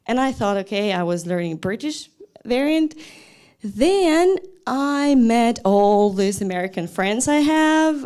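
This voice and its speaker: A young woman, a language teacher giving a lively, chatty talk to an audience. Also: medium pitch. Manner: lively and chatty, with small laughs and restarts.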